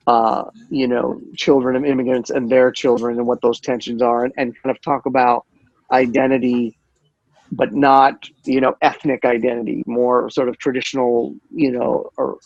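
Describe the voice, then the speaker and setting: Man, filmmaker, gravelly, halting, dry muffled lo-fi call audio. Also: mid-range voice, darkish tone, no echo. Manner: halting, many repeats and fillers. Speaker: short filmmaker. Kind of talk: casual interview answer.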